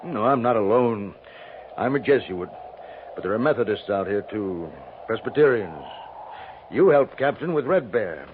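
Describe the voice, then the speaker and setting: Frontier Jesuit played by a male actor: American, gentle, steadfast, lively inflection, hissy old radio sound. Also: lowish voice, moderate pace, natural voice. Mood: earnest, hopeful. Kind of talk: 1950s radio drama acting. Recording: tinny, noisy 1950s radio recording.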